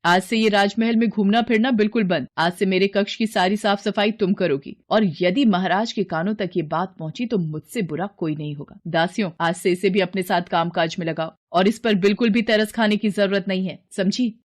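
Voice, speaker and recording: A woman's voice: mid-range voice, normal speed, few pauses, close to an everyday voice. A woman voicing a cruel, jealous stepmother queen in a cartoon fairy tale, harsh and commanding. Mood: harsh, threatening. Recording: clear background noise.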